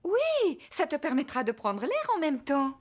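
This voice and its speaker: A woman playing a kindly, sensible, gently firm mummy pig in an animated children's cartoon, clear and motherly. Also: sits high, measured pace, over loud music, exaggerated voice. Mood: helpful.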